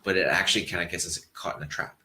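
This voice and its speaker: A man giving a relaxed, reflective mindfulness talk to an audience, rambling a little and restarting his sentences as he thinks aloud. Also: sits low, quick pace. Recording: echoey room.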